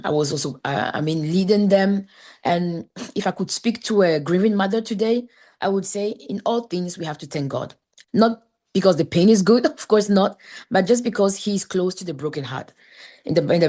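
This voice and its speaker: An earnest woman from Ghana talks as a podcast guest, pausing often and restarting her sentences. Her voice sits fairly low, and the sound comes through a video call. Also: darkish tone. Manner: earnest, halting with many fillers and restarts. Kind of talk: conversational interview.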